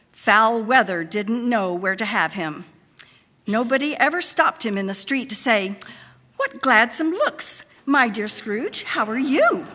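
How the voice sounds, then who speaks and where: A woman giving a deliberate, expressive theatrical narration of a story. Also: on the high side. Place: large church interior. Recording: reverberant hall.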